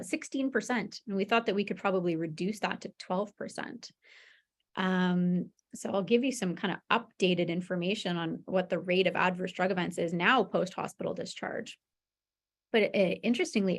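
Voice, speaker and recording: A woman presents research in a webinar at a medium pitch. Her voice has noticeable vocal fry. She explains things informally, checking in with listeners as she goes. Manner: informal explaining with frequent "you know" and "right?" check-ins. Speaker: medical researcher presenting a study.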